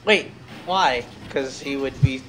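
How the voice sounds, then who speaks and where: A man chatting casually as he streams a game, telling stories haltingly and drifting off on tangents, with some noise in the recording. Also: medium pitch, fairly bright tone, poor audio. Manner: halting, tangent-prone storytelling. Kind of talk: casual rambling chat over gameplay.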